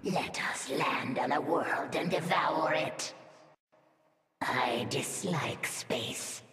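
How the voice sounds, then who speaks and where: A woman voicing a proud, ferocious alien swarm broodmother in a video game, imperious, deliberate and menacing, devoted to her queen. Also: deep voice, a bit bright, exaggerated voice. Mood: fierce, proud. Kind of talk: video game character acting. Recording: echoing, reverberant, distant, over game music.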